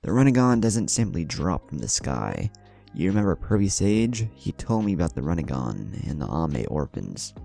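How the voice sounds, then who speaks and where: A man's voice: low-pitched, sing-song, normal speed, vocal fry, dark tone, soft background music, full character voice. A man voicing a cocky, cunning, taunting young ninja in a narrated fan story. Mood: confident.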